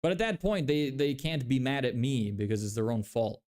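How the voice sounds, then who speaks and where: A man's voice: mid-range voice, normal speed, darkish tone. A young man whose first language is Lithuanian, chatting casually on a livestream as he reads and answers chat, rambling with many fillers and restarts.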